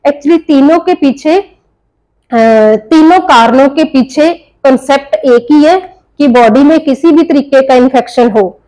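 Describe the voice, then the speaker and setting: A woman's voice: high-pitched, a little slow, mellow, low-quality recording. A woman teaching a nursing class, explaining step by step and answering her own questions. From India. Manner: explains in Hindi mixed with English terms, asks and answers own questions. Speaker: nursing tutor. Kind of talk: explanatory lecture.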